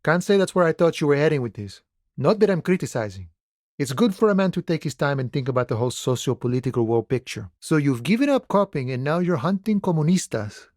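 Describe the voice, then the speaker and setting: An actor playing an easygoing, philosophical dock worker with cowboy airs in a video game, musing in an unhurried, folksy way. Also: male voice, on the high side, very animated, darkish tone, dry recording, clean studio sound. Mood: musing, relaxed. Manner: unhurried, folksy musing, calls people friend and boya. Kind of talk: video game character dialogue.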